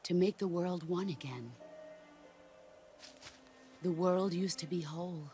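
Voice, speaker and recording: An actress playing a stern, middle-aged veteran soldier and mentor, speaking in a calm, measured, solemn way in a game cutscene. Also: female voice, on the low side, soft background music. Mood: reflective.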